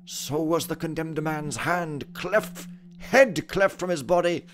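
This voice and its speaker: A man's voice: a little high, takes it slowly. A man, the host of a let's play, reading an in-game book aloud in a theatrical, mock-solemn way.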